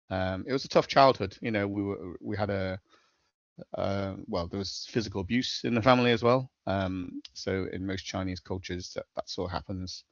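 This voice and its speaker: A middle-aged man with a Scouse accent speaks in a low voice as a podcast guest, matter-of-fact and full of small hesitations. The recording is dry and close, with a slightly dull, lo-fi tone. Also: no room sound. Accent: Liverpool (Scouse). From Liverpool, England. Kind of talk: casual interview chat.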